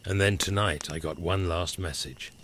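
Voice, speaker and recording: A man with a very low-pitched voice narrates a scary story in the first person. His delivery is dramatic and full of suspense, as a horror storyteller. A crackling fire is faintly heard behind him. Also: steady tone, moderate pace, a bit bright. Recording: clear background crackling noise. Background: crackling fire ambience.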